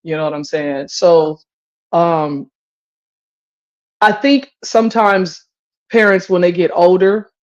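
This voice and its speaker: Woman, forties, opinionated, conversational, candid casual panel talk, muffled low-fidelity audio. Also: slow pace, pauses often, darkish tone. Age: 40s. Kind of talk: candid casual open-forum chat.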